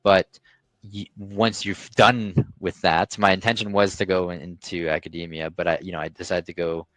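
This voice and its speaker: A man's voice: deep voice, little pitch movement, normal speed, fairly bright tone, dry recording, low-quality recording. A young man, a guest on a podcast, talking casually about his business and life, with many restarts and fillers. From Canada. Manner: talkative, frequent restarts and fillers. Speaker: guest, founder of a restaurant tech company.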